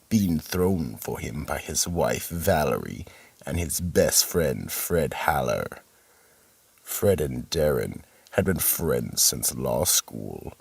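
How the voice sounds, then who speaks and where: A sinister horror-radio host with an extremely deep, husky voice. He narrates slowly and theatrically, with gravel in every phrase and ominous swoops in pitch. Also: dry recording, heavily acted. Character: sinister male keeper of a horror vault, narrating.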